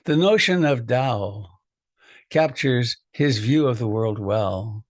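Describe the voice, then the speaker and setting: A man, a meditation teacher, reading a reflective poem aloud in a measured, deliberate way. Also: medium pitch, animated, dry recording.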